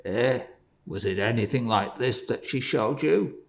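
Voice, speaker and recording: A man voicing a gruff, unsympathetic goblin disguised as a noodle peddler, speaking roughly and curtly. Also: mid-range voice, unhurried. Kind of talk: storybook reading.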